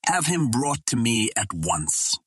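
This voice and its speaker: A man's voice: mid-range voice, sing-song, takes it slowly, fairly bright tone, husky, no echo, clean studio sound, heavily acted. A man voicing an imperious, impatient Martian ruler who gives commands in archaic, formal speech.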